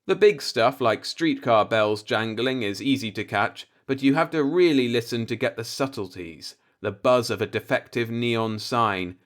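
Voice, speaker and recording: A man's voice: mid-range voice, natural voice. A man reading the part of a brooding, disillusioned professor in an audiobook, musing in a pointed, rhetorical monologue. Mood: sardonic wonder. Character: brooding, disillusioned male professor philosophizing bitterly.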